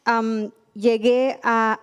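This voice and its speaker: A woman sharing a personal testimony at church, soft-spoken and hesitant. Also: a little high, flat delivery, drawn-out words, smooth. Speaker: church member giving testimony.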